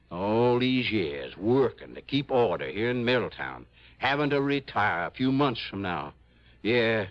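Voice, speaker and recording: An actor playing an aging small-town police chief, folksy, stubborn and grumbling, in an old-time radio drama. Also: male voice, mid-range voice, no echo, lightly acted. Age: older. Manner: folksy, grumbling, mangles big words. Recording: dull vintage radio recording.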